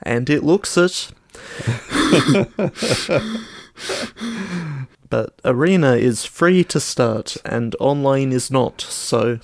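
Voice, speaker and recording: A man's voice: medium pitch, expressive, extremely slow, gravelly, long pauses, no echo. A man co-hosting a podcast in casual chat, understated and hesitant as he rambles along. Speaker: podcast co-host.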